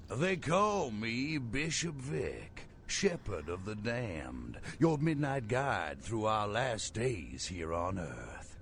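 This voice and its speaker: Video game villain: fanatical vampire cult preacher, grandiose, slow and deliberate, dramatic rises and drops. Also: male voice, on the low side, sing-song, fairly bright tone, a little hiss or hum, heavily acted. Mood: grandiose, welcoming. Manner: grandiose, theatrical preacher's delivery with drawn-out words.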